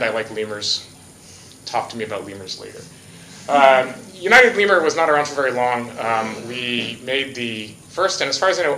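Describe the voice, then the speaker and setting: Bright-voiced man speaking in an echoey hall. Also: medium pitch, slight background noise. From Hawaii. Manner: casual, joking, many fillers. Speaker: conference speaker. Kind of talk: conference talk.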